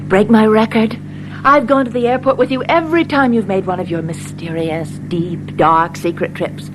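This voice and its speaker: An American actress playing an anxious, devoted wife in a radio drama. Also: female voice, normal speed, close to an everyday voice. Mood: worried, insistent. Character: anxious, devoted wife of a secretive government man. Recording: noisy vintage radio drama, car rumble.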